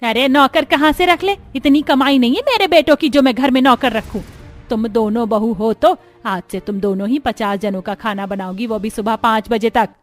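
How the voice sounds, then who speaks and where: A woman's voice: a little high, moderate pace, close to an everyday voice. A woman voicing a bossy older mother-in-law in a cartoon family drama, scolding and giving orders. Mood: indignant. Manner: scolding, commanding.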